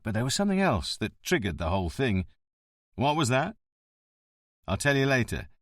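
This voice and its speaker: A man voicing a shrewd, wry police chief who questions calmly and dryly. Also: mid-range voice, no room sound. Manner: calm, dry and measured.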